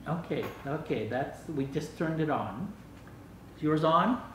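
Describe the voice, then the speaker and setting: An older man with a slightly high voice chats casually as he hosts a home gadget test. He is picked up from across a dining room, with plenty of room echo. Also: animated, measured pace, a bit bright. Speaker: vlog host. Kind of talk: casual chat while testing a gadget. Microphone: camera microphone across the room. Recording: echoey room, distant mic, faint noise.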